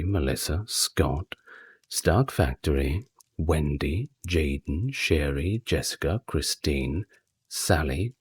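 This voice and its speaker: A man speaking softly and breathily as the host of an ASMR channel, talking casually to his listeners. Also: a bass voice, breathy edge.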